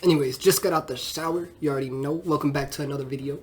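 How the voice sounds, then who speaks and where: A young man in his twenties with a stuffy, congested nose chats loosely into the camera, voice sitting a bit high for a guy. He rambles easily and leans on fillers like "you know" as he talks about his life as a trainer. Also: steady tone, rapid-fire, slight background noise. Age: 20s. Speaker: fitness trainer and vlogger. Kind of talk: casual rambling vlog talk.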